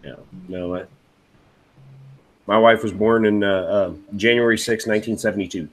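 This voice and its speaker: A man's voice: on the low side, fairly even intonation, normal speed. A man in his forties chatting casually, stammering and joking. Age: 40s. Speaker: guest. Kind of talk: casual group chat.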